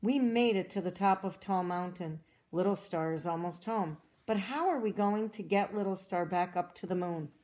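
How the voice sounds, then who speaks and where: A woman reading a children's storybook aloud as a cheerful young girl explorer, in a gentle, encouraging way that asks the listener questions. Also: on the low side, normal speed, hardly any pauses, close to an everyday voice. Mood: upbeat. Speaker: storybook reader.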